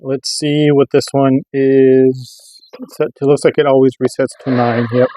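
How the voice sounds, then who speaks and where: A man's voice: mid-range voice, level tone, unhurried, mellow, a little hiss or hum. A man giving a casual, hands-on gear review, explaining informally and often checking in with the listener. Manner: informal, loosely explanatory, rhetorical check-ins. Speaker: host of a radio gear review channel.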